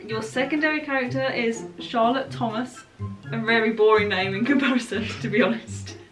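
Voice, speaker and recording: A woman's voice: fairly high pitch, moderate pace. A young woman hosting a vlog in casual chat, with a playful, giggly way of talking. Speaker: vlog host. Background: music.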